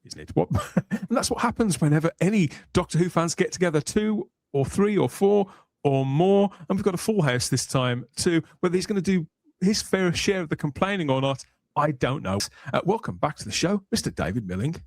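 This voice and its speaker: A man's voice: a little high, expressive, brisk, no echo. A man hosting a casual panel podcast, chatting in wordy, rambling phrases as he presents and introduces guests. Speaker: podcast host.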